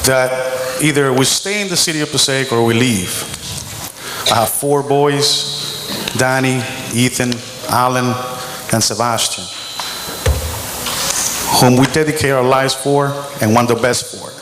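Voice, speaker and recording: A middle-aged man giving a measured, earnest opening statement as a mayoral candidate at a school debate. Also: mid-range voice, background noise. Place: school auditorium. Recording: roomy hall with long reverb.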